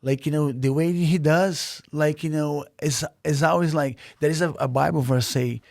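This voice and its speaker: A man's voice: on the high side, fairly even intonation, normal speed, vocal fry, a little mellow, no room sound, clean studio sound. A man from Brazil, a native Portuguese speaker with a Brazilian Portuguese accent, talking earnestly as a podcast guest with many fillers and restarts. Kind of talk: casual interview.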